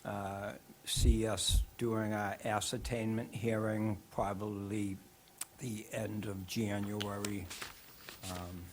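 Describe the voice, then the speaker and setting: A man giving a cable advisory board update at a town meeting, hoarse from losing his voice, hesitant with many fillers. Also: on the low side, little pitch movement, slow, slight background noise, rough recording. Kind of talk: report at a public meeting.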